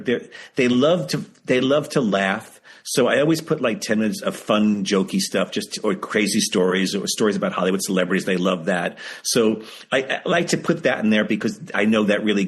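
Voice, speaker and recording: A man's voice: lowish voice, slightly rough. A seventy-year-old man talking candidly as an interview guest, emphatic and repeating phrases for stress. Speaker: guest, author and writing teacher. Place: video call.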